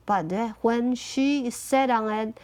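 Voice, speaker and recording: Woman, native Mandarin speaker, high voice, slow storybook reading, expressive, casual asides. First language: Mandarin Chinese. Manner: expressive, switches between reading and chatty asides. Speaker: parent reading aloud to child. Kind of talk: animated picture-book read-aloud with casual asides.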